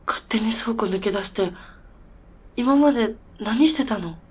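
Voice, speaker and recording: A woman playing a bewildered but determined snowmobile mechanic in a radio drama, talking casually and haltingly with disbelief. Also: a little high, lively intonation, slightly rough. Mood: reproachful.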